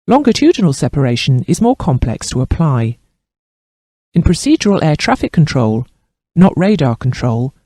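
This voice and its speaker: Male instructional narrator, scripted, formal, measured, higher-pitched, very dry close sound. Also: dark tone, no echo. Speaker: training narrator.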